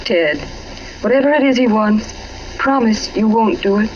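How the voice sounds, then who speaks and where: An actress playing a poised, evasive wife in a 1940s radio mystery. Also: female voice, on the high side, expressive, moderate pace, a little mellow, lightly acted. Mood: pleading. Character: Marie, poised, evasive young suburban wife. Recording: muffled, noisy 1940s radio recording.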